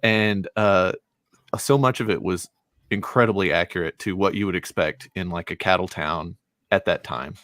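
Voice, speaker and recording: Male, lowish pitch, dry sound, informal conversational book talk. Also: normal speed, fairly bright tone, dry recording. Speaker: book discussion participant. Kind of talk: casual book discussion.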